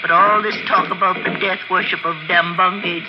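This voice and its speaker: An actress playing a shrewd, skeptical spinster lady sleuth, brisk, dry and decisive, in old-time radio mystery style. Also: on the low side, fairly even intonation, lo-fi sound, lightly acted. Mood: conspiratorial. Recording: old radio drama, band-limited, music behind.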